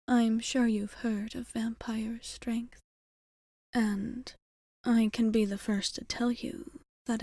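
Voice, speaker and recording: A woman voicing a gentle, wistful, centuries-old female vampire in a soft, breathy, whispery ASMR roleplay, with measured, formal wording close to the mic. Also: a little high, no echo. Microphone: close microphone.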